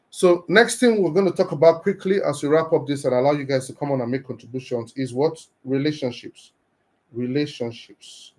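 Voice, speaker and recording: Earnest motivational man from Nigeria. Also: mid-range voice. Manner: earnest, encouraging, often repeats and restarts words. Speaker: live stream host. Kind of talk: motivational talk on a live stream.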